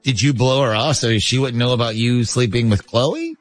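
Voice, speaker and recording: A man's voice: medium pitch, moderate pace, soft background music. A man with an American accent hosting a morning radio show in casual, conversational talk.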